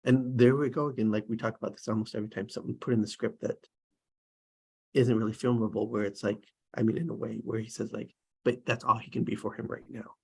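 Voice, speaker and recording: Gravelly, husky man rambling about a script. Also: on the low side, level tone, quick pace, warm tone. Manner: rambling, lots of "like" and restarts. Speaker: table read participant. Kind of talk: casual discussion of a script.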